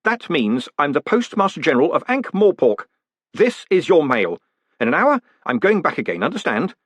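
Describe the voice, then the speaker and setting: A man voicing a brisk, cocky postmaster in an audiobook, with clipped orders and quick questions. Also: fairly high pitch, sing-song, a bit bright, husky, no echo, voice pushed up, exaggerated voice. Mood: brash, commanding. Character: brisk, brash male postmaster, cocky and commanding.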